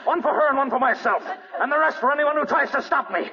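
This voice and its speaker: A man's voice: very high voice, quick pace, a crowd in the background. An actor in 1940s radio style playing a bitter, jilted man with a brooding, menacing manner, unmasked as a vengeful killer. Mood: desperate, threatening. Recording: dull muffled vintage radio recording, audience noise.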